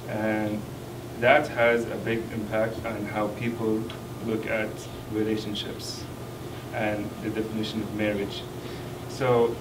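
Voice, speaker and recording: A man from Kuwait, an international student speaking on a discussion panel in an earnest, measured way. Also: fairly low pitch, flat delivery. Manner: earnest, measured, pausing often. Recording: roomy, distant mic, noisy hall.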